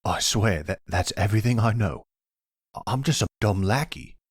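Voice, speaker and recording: A man playing a nervous, chatty, none-too-bright mafia henchman in an audio roleplay, hesitant and stammering in slangy bro talk. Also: fairly low pitch, normal speed, husky, no room sound, clean studio sound. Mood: nervous, pleading.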